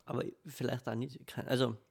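A man's voice: mid-range voice, little pitch movement, a little fast, creaky, slightly rough. A young man co-hosting a podcast in casual chat, laid-back and jokey, switching between dialect and standard speech. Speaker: podcast co-host.

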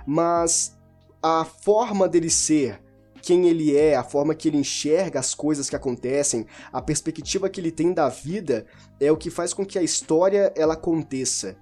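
Man, slightly high mellow voice, loose rambling book talk, faint music behind. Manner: informal, rambling with restarts and self-corrections. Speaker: book reviewer. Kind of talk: casual spoken book discussion.

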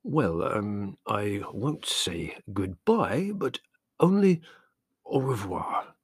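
A man voicing a precise, formal gentleman who mumbles his goodbyes hesitantly. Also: lowish voice, big pitch swings, extremely slow, husky, dry recording, clean studio sound, full character voice.

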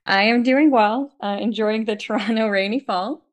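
A woman's voice: fairly high pitch, normal speed. Upbeat woman hosting an interview podcast. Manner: friendly, upbeat questioning. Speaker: podcast host.

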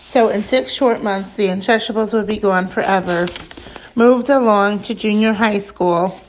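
A woman reading a children's book aloud as scripted narration, leaning deliberately on key words and lists. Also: mid-range voice, slight background noise, poor audio.

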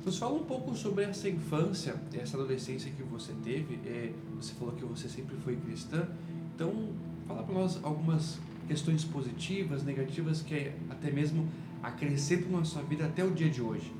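A man's voice: fairly high pitch, animated, normal speed, heavy background noise. A man hosting a church podcast interview, formal and devout, answering often with amens. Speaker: podcast host. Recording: echoey room with music behind.

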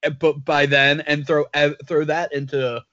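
A man co-hosting a podcast talks in loose, rambling banter, mocking himself as he goes. His voice is bright and a touch high, and it sounds dry and slightly dull, recorded close on a lo-fi mic. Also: level tone, normal speed, no echo. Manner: joking, rambling, self-mocking. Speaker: podcast co-host. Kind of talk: casual banter.

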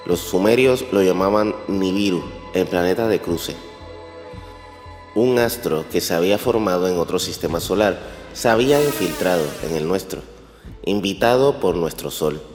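Low-pitched male narrator in long reverb. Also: moderate pace, a little mellow. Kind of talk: scripted documentary narration. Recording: roomy long reverb over faint music. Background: faint music.

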